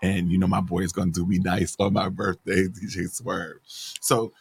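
A man hosting a casual interview, chatty and encouraging, often affirming his guest with quick backchannels. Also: low-pitched, level tone, vocal fry, breathy edge. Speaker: host.

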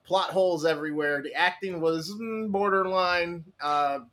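A man's voice: high-pitched, moderate pace, a bit bright. A man chatting casually about films as a panelist on a podcast. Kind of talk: casual film chat.

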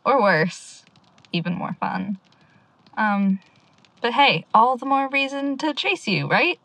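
Girl playing a headstrong little sister in an audio drama, voice a bit high, earnest, dry recording. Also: expressive, takes it slowly, creaky, fairly bright tone, no echo, exaggerated voice, poor audio. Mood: wry, resolute. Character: determined, stubborn younger sister searching for missing friend.

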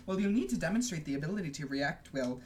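A woman's voice: low voice, animated, fast talker. A woman voicing a stern, curt older schoolmistress with a clipped, exasperated, formal manner, as in a storybook. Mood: firm.

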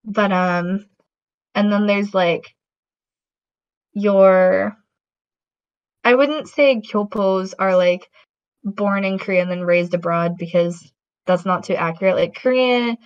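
Slow-talking woman, rambling with fillers. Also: medium pitch. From Latin American heritage. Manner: rambling, many fillers and restarts. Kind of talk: casual conversation. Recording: dull lo-fi audio.

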